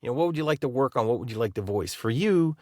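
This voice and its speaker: A man co-hosting a podcast, talking in a rambling, halting, friendly way with many restarts. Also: mid-range voice, animated, a little mellow, no echo, studio quality. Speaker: podcast co-host. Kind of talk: casual interview chat.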